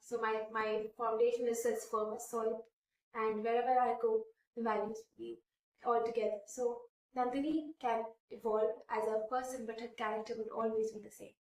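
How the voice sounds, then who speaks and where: A woman's voice: fairly high pitch, level tone, normal speed, roomy sound, recorded from a distance, poor audio. A woman in her twenties from India whose first language is Hindi, earnestly answering interview questions with many fillers and restarts. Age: 20s.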